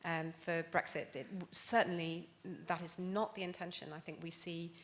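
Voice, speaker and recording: A woman on a conference panel answering calmly and reassuringly, with some hesitant starts. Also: fairly low pitch, normal speed.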